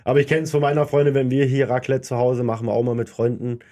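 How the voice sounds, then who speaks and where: A man's voice: mid-range voice, little pitch movement, fast talker, dark tone. A young man from southern Germany with a light Berlin accent, chatting casually in a colloquial, self-deprecating way as an interview guest. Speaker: guest, professional ice hockey player. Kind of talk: casual chat.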